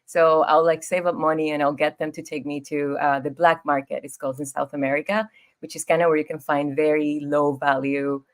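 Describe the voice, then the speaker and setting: A woman in her thirties from Ecuador with a Spanish accent, telling her story as a podcast guest in an expressive, easygoing way. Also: low-pitched, brisk. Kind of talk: casual interview storytelling.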